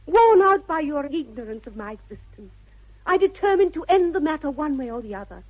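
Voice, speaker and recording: A woman's voice: high-pitched, sing-song, fairly quick. An actress with a British accent playing a dreamy, headstrong young Victorian lady in a 1960s radio comedy, with precise, affected, earnest delivery. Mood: dreamily romantic. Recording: dull vintage 1960s radio recording.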